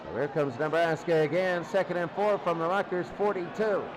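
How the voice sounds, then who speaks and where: A man calling football play-by-play live in an excitable, exclamatory way, often repeating phrases. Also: on the high side, a little fast, background noise. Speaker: play-by-play sports commentator. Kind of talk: live American football commentary.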